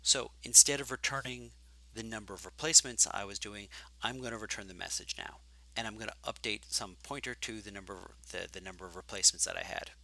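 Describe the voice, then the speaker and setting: A man teaching programming in a live coding lecture, thinking aloud and correcting himself as he goes, his voice growing hoarse from long talking. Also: fairly low pitch, fast, bright, forceful tone, low-quality recording. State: getting hoarse.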